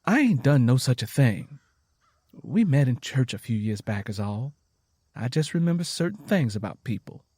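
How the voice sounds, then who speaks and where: A man's voice: mid-range voice, sing-song, moderate pace, a little mellow, slightly rough, no echo, clean studio sound. A man voicing a wary, teasing Southern country wife in her twenties, with blunt, folksy dialect, in an audio story. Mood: evasive, sheepish.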